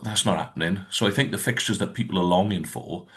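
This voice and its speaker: A man's voice: low voice, fast. A man co-hosting a podcast in casual rugby chat, rambling and hesitant. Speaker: podcast co-host.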